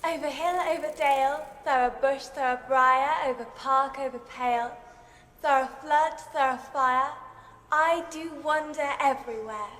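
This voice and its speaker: A woman's voice: high-pitched, unhurried, fairly bright tone, long reverb tail. A woman playing a wandering fairy servant of the fairy queen, speaking lilting verse in a Shakespearean audio drama. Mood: airy, proud. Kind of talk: lyrical Shakespearean verse acting.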